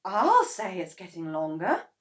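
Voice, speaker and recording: A woman's voice: fairly high pitch, sing-song, slow pace, voice pushed up. A woman reading a children's story aloud, voicing a sceptical, complaining middle-aged farmer's wife. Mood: grumbling. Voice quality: tart, complaining tone.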